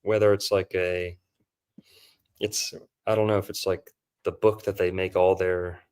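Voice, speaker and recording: A man's voice: sits low, flat delivery, unhurried, darkish tone, clear, resonant tone. A man hosting a podcast, casually breaking down a movie in a loose, rambling way with lots of fillers. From Florida. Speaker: podcast host.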